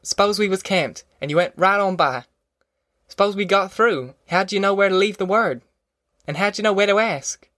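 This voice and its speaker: A man acts out a middle-aged country mother who will not budge. The voice sits high, with a rural Southern American accent and folksy, threatening dialect. It is audiobook character work, recorded dry and close. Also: normal speed, pushed and bright, no echo. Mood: fierce pleading. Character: fierce, stubborn middle-aged rural mother, defiant matriarch.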